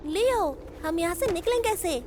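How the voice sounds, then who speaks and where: A woman voicing an excitable, doubting young male tiger cub in a children's cartoon, with a blunt, whiny way of talking. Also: very high-pitched, moderate pace, noisy background. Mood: worried, pleading. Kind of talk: dubbed children's cartoon acting. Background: prominent music.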